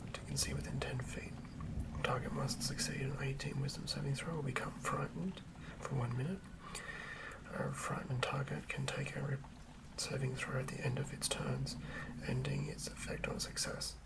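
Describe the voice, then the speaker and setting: Creaky whispering ASMR reader. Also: mid-range voice, level tone, far from the mic, rough recording. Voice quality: breathy unvoiced whisper. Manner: hushed, deliberate phrase-by-phrase reading. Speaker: host and game master reading a monster stat block. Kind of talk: whispered ASMR read-through. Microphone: close microphone.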